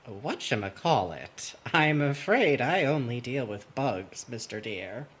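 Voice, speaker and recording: A man's voice: medium pitch, big pitch swings, unhurried, a bit bright, husky, heavily acted. A man voicing a fussy, dry-witted puppet gardener and bug expert in a cartoon, with prim, precise, sardonic wording. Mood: dryly amused. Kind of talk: cartoon character voice acting.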